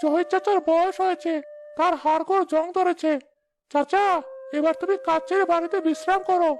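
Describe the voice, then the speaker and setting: A woman's voice: extremely high pitch, fairly even intonation, a little fast, a little mellow, a little hiss or hum. A woman voicing a sly, cheeky young male labourer in a cartoon, mocking and ingratiating. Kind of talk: Bangla cartoon story dialogue.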